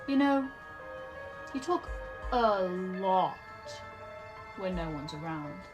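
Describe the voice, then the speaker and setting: An unsettlingly calm young woman in an audio drama. She talks slowly at a medium pitch, measured and cryptic, needling with her questions. Soft music sits behind the voice. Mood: calm, taunting. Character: eerily calm, taunting young woman, a vanished friend.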